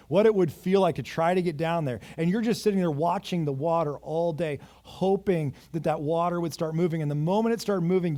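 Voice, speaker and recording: A man in his thirties preaching a sermon, moving between raised urgent passages and quiet, intimate ones, with self-deprecating asides. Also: a little high. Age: 30s. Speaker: pastor. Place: church.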